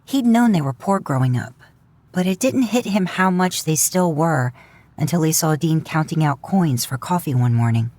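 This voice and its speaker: A woman narrating a fan fiction audiobook in a steady storytelling manner. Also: low voice, moderate pace, dry recording.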